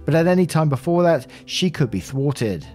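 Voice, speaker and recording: A man reading a written story aloud as a podcast host, with expressive delivery and brief asides. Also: a little high, normal speed, soft background music.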